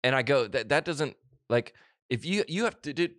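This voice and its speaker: Male podcast host, thirties, middle pitch, rambling storyteller, dead-dry studio sound. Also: animated, normal speed, a bit bright, no room sound. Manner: rambling, restarts, acts out voices in stories. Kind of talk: casual chat. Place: small studio with dark curtains. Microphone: studio mic on desk stand, headphones.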